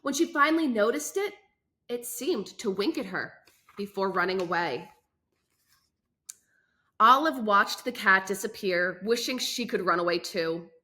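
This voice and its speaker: A woman reading a children's book aloud as a host, with expressive, enunciated storytelling and emphatic phrasing. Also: mid-range voice, unhurried, long pauses.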